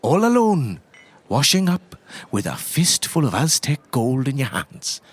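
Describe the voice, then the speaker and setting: A man's voice: medium pitch, very animated, unhurried, slightly rough. A man playing a chatty, tipsy priest who loves tall tales, with an Irish accent and a rambling, lilting delivery, in an improvised tabletop game. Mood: reminiscing, wistful. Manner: rambling, lilting storyteller with repeated tag phrases.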